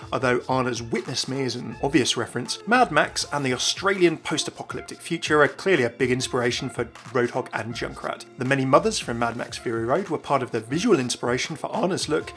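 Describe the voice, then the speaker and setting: A man's voice: medium pitch, a bit bright. A man hosting a scripted video game lore voice-over in a chatty, informal way.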